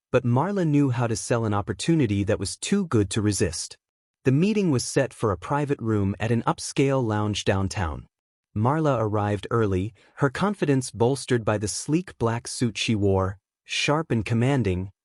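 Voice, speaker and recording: A man's voice: mid-range voice, darkish tone, no room sound, studio quality. A man reading a dramatic fiction story as a scripted audiobook narrator.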